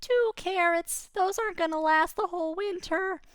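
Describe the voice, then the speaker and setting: A woman voicing an excitable small male rabbit who talks in a squeaky, breathless rush. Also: moderate pace, dry recording. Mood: glum.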